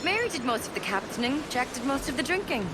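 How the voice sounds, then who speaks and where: An actress playing a bold, tough young pirate quartermaster in a game cutscene. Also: female voice, sits high, sing-song, fast talker, very noisy. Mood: wry. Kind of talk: dramatic game cutscene acting. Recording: loud music under the voice.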